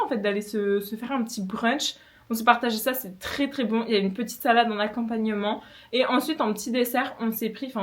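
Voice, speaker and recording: A young woman from France in her twenties, chatting casually and enthusiastically to camera in a home vlog, with many fillers and restarts. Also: a little high. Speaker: vlogger. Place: home kitchen.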